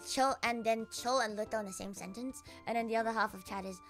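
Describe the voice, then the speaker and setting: A woman's voice: a little high, fast talker, a bit bright, soft background music. A young woman with an Australian accent, chatting casually on a live stream and answering comments with sarcastic, swear-heavy banter and frequent laughter.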